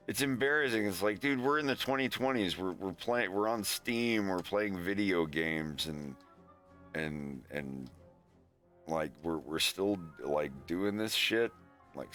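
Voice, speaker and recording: A man's voice: rumbling low, a bit bright. An American man streaming from home, rambling in casual chat and peppering his talk with "like", "dude" and swearing. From United States.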